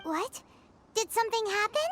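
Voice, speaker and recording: A woman voicing a tiny, excitable fairy girl companion in a video game, with a squeaky childlike voice. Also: normal speed, background noise. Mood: surprised, worried. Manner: speaks of herself in the third person.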